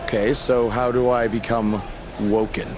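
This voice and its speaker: An actor playing an earnest young wrestler in a video game, with a plain, unassuming delivery. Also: male voice, mid-range voice, little pitch movement, unhurried, background noise, natural voice. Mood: awkward, hesitant. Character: earnest, hesitant young male wrestler, everyman hero. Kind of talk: video game cutscene acting.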